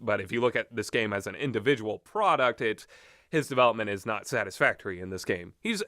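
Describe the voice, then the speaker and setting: A man giving casual, unscripted and opinionated commentary, halting often with fillers and trailing thoughts. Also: lowish voice, animated, pushed and bright, no echo, studio quality. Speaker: host of a video game talk.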